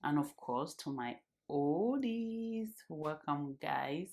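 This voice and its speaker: A woman's voice: sits low, expressive, slow pace, a little mellow. A woman in her thirties from Cameroon, talking earnestly to her channel's viewers and repeating phrases for emphasis. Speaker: vlogger. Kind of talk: casual talk to camera.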